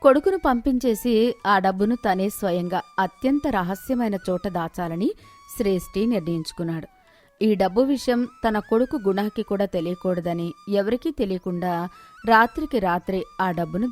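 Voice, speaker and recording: A woman narrator telling a scripted moral story in an expressive storytelling manner. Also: medium pitch.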